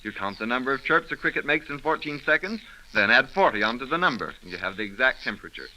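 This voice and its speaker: A man's voice: mid-range voice, expressive, quick pace, pushed and bright, poor audio. An actor playing a cheerful know-it-all man on a picnic in a 1930s radio sketch, chatty and explanatory. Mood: enthusiastic. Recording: old radio recording, faint noise.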